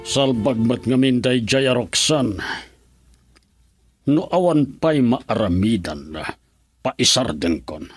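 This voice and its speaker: A man's voice: medium pitch, expressive, takes it slowly, pauses often, soft background music. An actor playing a stern, scheming father in an Ilocano radio drama, speaking with a Filipino Ilocano accent. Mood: bitter, resolute. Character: scheming, stern father plotting against son-in-law.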